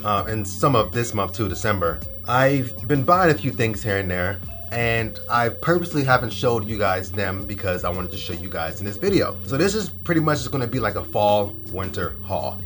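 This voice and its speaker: A man's voice: on the low side, animated, moderate pace, fairly bright tone, faint music behind. Laid-back young man with a stuffy nose. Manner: laid-back, slangy, rambling with fillers. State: nasal from a cold. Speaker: fashion vlogger. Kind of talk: casual haul video.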